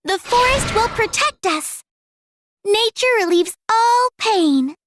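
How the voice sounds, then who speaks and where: Tiny, very high forest girl. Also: animated, takes it slowly, noisy background, full character voice. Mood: gentle. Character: sweet gentle little forest nature spirit girl. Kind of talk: video game hero voicelines.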